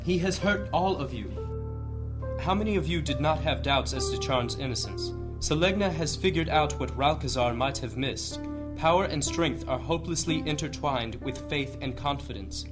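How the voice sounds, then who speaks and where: An actor playing a grave, earnest church leader, speaking in measured, oratorical fashion in a fantasy audio drama. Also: male voice, fairly high pitch, over music, close to an everyday voice. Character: solemn male high church leader, earnest and weighty.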